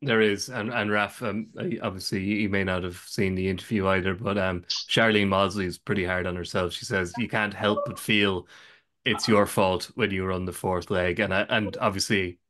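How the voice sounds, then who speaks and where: A man's voice: deep voice, steady tone, moderate pace, fairly bright tone. An Irish man hosting a sports podcast, talking casually and wryly with frequent restarts. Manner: wry, self-interrupting with restarts. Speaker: podcast host.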